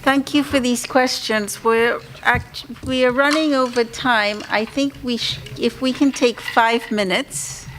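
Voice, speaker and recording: Woman, panel moderator, brisk, fairly high voice, faint room noise. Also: steady tone, a bit bright, poor audio. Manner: brisk, organizing. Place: conference hall.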